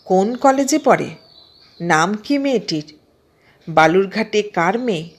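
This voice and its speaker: A woman reads a literary story aloud in a measured, expressive storytelling manner. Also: mid-range voice. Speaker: audiobook narrator. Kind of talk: scripted literary story narration.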